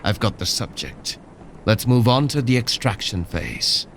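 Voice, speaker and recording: An actor playing a cold, controlling cybernetic colonel in a sci-fi audio drama, measured and calmly commanding. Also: male voice, medium pitch, background noise. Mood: urgent, controlled.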